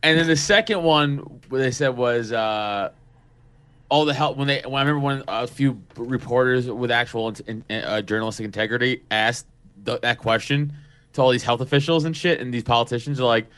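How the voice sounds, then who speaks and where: A man's voice: medium pitch, fairly quick, a bit bright, smooth. A man chatting casually on a podcast, blunt and emphatic, swearing constantly.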